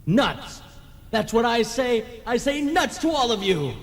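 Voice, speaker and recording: An actor playing a defiant, fed-up ghost on trial, blunt and theatrical, in a radio play. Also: male voice, extremely high pitch, sing-song, unhurried, over a noisy crowd, exaggerated voice. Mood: defiant, sullen. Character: defiant, fed-up male ghost on trial, work-shy rebel. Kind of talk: old-time radio play acting. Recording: long hall reverb.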